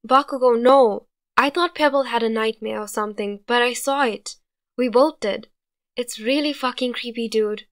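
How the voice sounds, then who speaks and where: A woman voicing a frightened, excitable teenage boy who talks in a hysterical, high-strung way. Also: unhurried, vocal fry, hardly any pauses, no room sound, studio quality, heavily acted.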